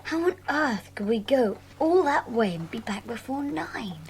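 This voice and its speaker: A woman's voice: high-pitched, lively intonation, measured pace, slight background noise. A woman playing a doubtful, reluctant schoolboy sidekick in a children's audio drama, with polite old-fashioned slang. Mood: sleepy reluctance. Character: cautious, doubtful, grumbling young schoolboy sidekick.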